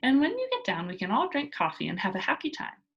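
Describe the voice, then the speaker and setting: A playful, cheeky young girl from a storybook, put on by a woman reading aloud. The pitch leaps about in breezy, teasing exclamations. The tone is fearless, with a husky grain to it. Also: huge pitch swings, quick pace, a bit bright, dull audio, full character voice. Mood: eager.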